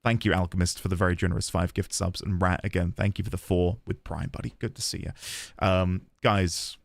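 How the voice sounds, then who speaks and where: A man live-streaming a video game, chatting excitedly with viewers, thanking them and stumbling over restarts as he talks. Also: low voice, a little fast, creaky, no echo. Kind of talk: casual live-stream chat and commentary.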